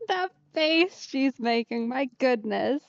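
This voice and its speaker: A woman's voice: high-pitched, lively intonation, slow pace, creaky, dull audio, faint music behind. A woman doing casual let's play commentary, with a wry, laid-back manner. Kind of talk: casual gameplay commentary, reading player dialogue.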